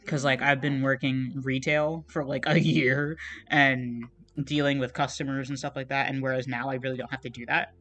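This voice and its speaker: A young man streaming as he draws, chatting casually in a meandering, soft, self-deprecating way, with plenty of fillers and trailing thoughts. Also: medium pitch, little pitch movement, moderate pace. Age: young adult. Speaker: art streamer. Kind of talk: casual chat while drawing on a livestream.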